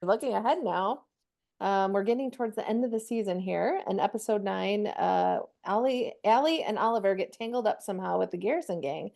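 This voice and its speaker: Creaky-voiced woman hosting a chat. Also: mid-range voice, brisk, darkish tone. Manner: affirming, supportive interjections. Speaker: podcast host, interviewer. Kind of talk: casual, conversational interviewing.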